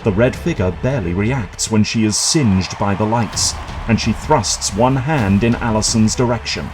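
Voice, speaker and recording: A man reading scripted dramatic narration for an audio drama in a measured, suspenseful manner. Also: on the low side, music in the background, low-quality recording.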